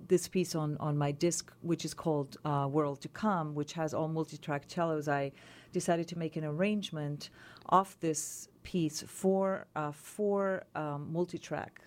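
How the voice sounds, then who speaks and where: A woman cellist as a radio interview guest, talking thoughtfully with many pauses and restarts. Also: sits low, steady tone, a little mellow. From Israel.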